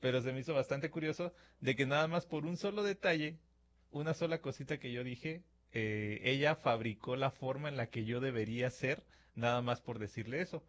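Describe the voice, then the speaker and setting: A man's voice: medium pitch, normal speed, a bit bright. A man from Mexico with a Mexican accent, hosting a reflective radio-style talk at a studio mic and acting out imagined dialogues with fillers. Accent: Mexican Spanish. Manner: conversational, acts out imagined dialogues with filler words. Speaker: radio-style podcast host. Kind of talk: reflective monologue to listeners. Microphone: studio microphone.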